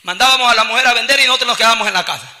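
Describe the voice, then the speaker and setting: A man's voice: extremely high pitch, racing, pushed and bright. A man from El Salvador with a Salvadoran accent, preaching a fervent sermon and often calling out to the congregation as brothers. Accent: Salvadoran Spanish. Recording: long reverb of a large hall.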